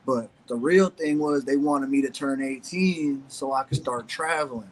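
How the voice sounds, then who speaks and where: A man's voice: mid-range voice, normal speed, rough recording. Profane young man telling stories. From Ohio, USA. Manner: loose, profane storytelling with many fillers. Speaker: guest, former pro BMX rider. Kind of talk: casual podcast chat.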